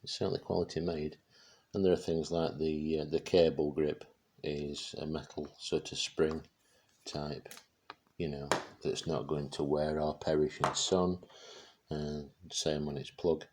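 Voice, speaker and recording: A man giving a casual, unscripted product demonstration, speaking haltingly as he searches for words. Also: extremely low pitch, little pitch movement, background noise. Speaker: presenter showing vintage radio gear.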